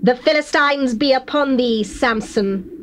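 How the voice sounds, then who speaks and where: A woman's voice: sits high, measured pace. A woman playing a scheming, seductive, persistent betrayer, coaxing and theatrical, in a dramatized Bible reading. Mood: urgent alarm. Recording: faintly noisy old cassette recording.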